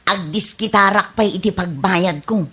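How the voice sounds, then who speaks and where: A woman's voice: mid-range voice, normal speed, sharp tone, husky. A woman with an Ilocano accent playing a carefree, gullible wife in a radio comedy drama, breezy and defensive. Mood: eager, curious. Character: carefree, gullible wife, fond of lucky charms.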